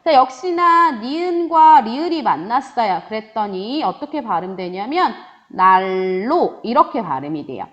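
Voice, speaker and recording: Woman teacher, online lesson, sing-song and lively, muffled lo-fi sound. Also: fairly high pitch, measured pace. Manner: lively, rising and falling teacherly sing-song, voices student questions herself. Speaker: Korean grammar teacher. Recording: dull lo-fi recording.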